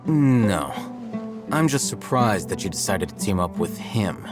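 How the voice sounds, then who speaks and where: A cool, aloof scholar. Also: male voice, lowish voice, big pitch swings. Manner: measured, dry, explanatory. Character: calm, aloof young male scholar. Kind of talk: video game dub. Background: music.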